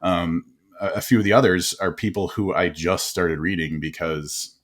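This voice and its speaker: A young man hosting a casual interview podcast, talking in a rambling, animated way and laughing often. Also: deep voice, normal speed. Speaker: podcast host.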